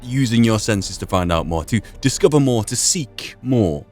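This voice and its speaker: A man's voice: mid-range voice, expressive, normal speed, darkish tone, faint music behind. A man giving in-character commentary on a video game, musing aloud and rephrasing his own thoughts. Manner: musing, repeats and rephrases himself. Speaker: gaming video host.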